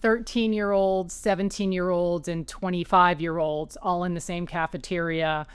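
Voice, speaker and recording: A woman's voice: fairly low pitch, fairly even intonation, moderate pace, clear, resonant tone, no echo. A woman author being interviewed at home, chatty and anecdotal, restarting sentences as she talks. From New York area, raised as an Army child. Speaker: author guest. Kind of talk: conversational interview. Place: her home.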